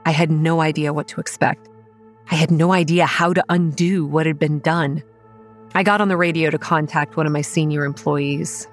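A woman playing a pragmatic young campground manager, narrating a horror audio drama in a measured, matter-of-fact and wry way. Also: sits low, steady tone, soft background music, lightly acted. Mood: tense narration. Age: young adult. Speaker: narrator and lead character. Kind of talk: first-person scripted horror narration and dialogue.

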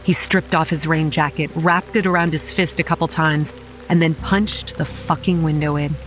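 A woman's voice: low-pitched, fairly even intonation, normal speed, lightly acted, rough recording. A young woman played by an actress tells a horror story in the first person, as a campground manager on edge. Her delivery is wry and given to sardonic understatement, with music behind her. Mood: shaken, dread. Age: young adult. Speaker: narrator and lead character. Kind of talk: first-person horror audio drama narration. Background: rain and music.

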